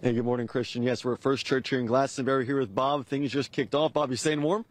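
A young male field reporter talking briskly into a handheld mic outside a church. His pitch sits in an ordinary middle range, and the delivery is upbeat and well practiced. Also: fairly even intonation. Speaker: TV news reporter. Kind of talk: live field report and packaged voice-over. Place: outdoors at a church parking lot. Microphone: handheld station mic.

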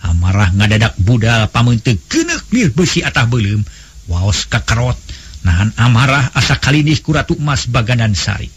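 A man with a Sundanese accent narrating a folk tale in a husky voice, as a dramatized storyteller. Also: medium pitch, big pitch swings, moderate pace.

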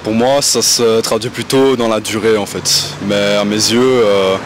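A young man in his twenties with a Belgian French accent, answering calmly outdoors into a handheld mic. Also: lowish voice, normal speed. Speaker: street interviewee. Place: outdoors on a city street. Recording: street traffic noise.

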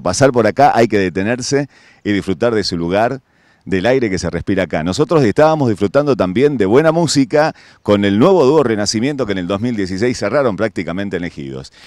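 A man's voice: lowish voice, a little mellow, no room sound, clean studio sound. A man in casual talk as a field reporter, chatty and upbeat, speaking into a handheld mic outdoors. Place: outdoors at a roadside lookout.

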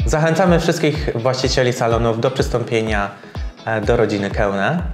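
Man, 30s, salon owner, measured testimonial read, middle pitch, roomy room, faint music bed. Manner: measured, slightly formal with filler pauses. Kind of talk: sponsored testimonial interview. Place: bright hair salon, indoors.

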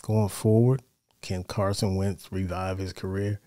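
A middle-aged American man giving casual sports commentary in a measured, conversational way, close on a studio mic. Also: deep voice, level tone, mellow, dry recording. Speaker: sports show host. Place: small home studio, indoors. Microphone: close broadcast mic on boom arm.

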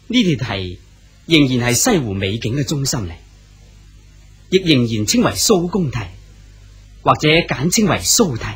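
A man's voice: medium pitch, husky. A man with a Cantonese accent narrating a radio drama in a storytelling manner. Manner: storytelling delivery.

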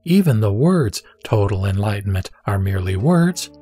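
A man playing the Buddha as a serene teacher, speaking in a measured, solemn way in an audio drama of scripture. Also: fairly low pitch, big pitch swings, mellow, husky. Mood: calm, instructive. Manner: measured, solemn, didactic delivery. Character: the Buddha, serene male teacher instructing a disciple. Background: background music.

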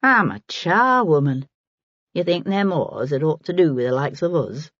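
Woman voicing a blunt old charwoman, husky. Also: sits low, animated, moderate pace, fairly bright tone, dry recording, muffled recording. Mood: scornful. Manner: blunt, scornful, folksy working-class speech. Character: old pipe-smoking charwoman, blunt, shrewd, earthy.

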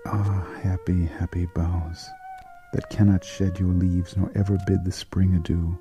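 A man giving a dramatic poetry recitation in a solemn, deliberate manner, pausing between short phrases. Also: low voice, flat delivery. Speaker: poetry reader. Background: flute music.

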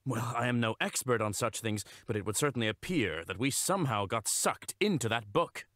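An actor playing a dutiful, sensible knight retainer in a fantasy game, speaking formally and courteously with a dry manner. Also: male voice, mid-range voice, lively intonation, a little fast, a bit bright, no room sound, natural voice. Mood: wary, courteous. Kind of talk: fantasy video game voice acting.

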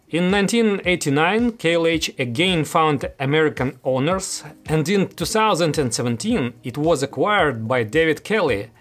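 A man in his thirties giving a scripted product review as host, speaking to camera in an indoor room. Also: a little high. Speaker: audio gear reviewer, host. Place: small studio room, indoors. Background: music.

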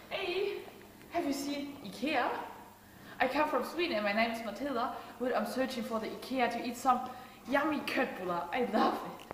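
A woman's voice: high-pitched, expressive, measured pace, pauses often. A young woman acting in an amateur comic skit, playful and giggly, taking on the part of a tourist. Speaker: student actor in a skit. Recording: very echoey hall, noisy camera mic.

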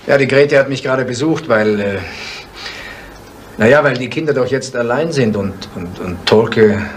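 An actor playing a middle-aged farmer in a 1970s radio crime drama, hesitant and politely evasive. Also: male voice, medium pitch, normal speed, natural voice. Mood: nervously obliging. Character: indebted village farmer, evasive, slyly polite neighbour. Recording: faint hiss on old radio recording.

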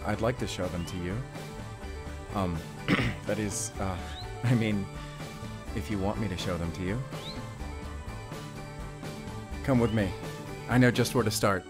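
A man's voice: lowish voice, moderate pace, pauses often, over music, close to an everyday voice. A man playing a friendly, playful young father in an intimate audio roleplay, chatting with bashful chuckles. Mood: bashful, tender. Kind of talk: intimate audio roleplay acting, addressing the listener.

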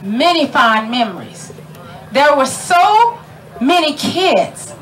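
A woman's voice: high-pitched, sing-song, takes it slowly, fairly bright tone, slight background noise, low-quality recording. Folksy New woman emceeing outdoors. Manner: chatty and folksy, laughing often, calling out to the crowd. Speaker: ceremony emcee. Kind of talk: speaking at an outdoor community ceremony. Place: outdoor tent at a park gathering.

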